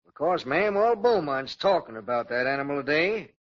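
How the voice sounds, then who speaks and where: A man's voice: a little high, very animated, normal speed. An actor playing a respectful, plain-spoken Texan city councilman in a folksy 1940s radio drama style. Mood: earnest. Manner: folksy, courteous. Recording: dull lo-fi 1940s radio recording.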